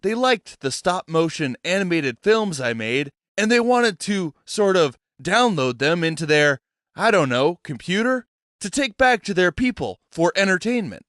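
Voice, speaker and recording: A shy, earnest talking head, as a man puts him on, hesitant and mild, a few steps above the reader's own pitch. The sound is bright and dry, with a lively rise and fall. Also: measured pace, no room sound, heavily acted. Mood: musing. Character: polite, timid middle-aged man, a talking severed head.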